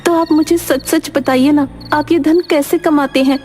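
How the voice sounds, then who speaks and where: A woman's voice: high voice, fairly even intonation, moderate pace, a little mellow, faint music behind, pitched above the performer's own voice, lightly acted. A woman voicing a naive, concerned village wife in a cartoon moral story, pleading and questioning. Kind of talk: animated Hindi moral story acting.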